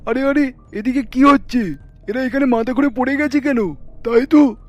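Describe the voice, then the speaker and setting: A man's voice: extremely high pitch, moderate pace, soft background music. A man playing an agitated, accusing village bystander in a cartoon, recorded in dull lo-fi sound. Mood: alarmed. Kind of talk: cartoon character acting.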